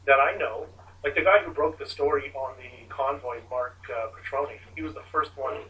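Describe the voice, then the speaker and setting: Man at a press conference: bright, emphatic, caught off-mic. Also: mid-range voice, moderate pace, distant mic, rough recording. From Canada. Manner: emphatic, animated storytelling. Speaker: convoy organizer answering press questions.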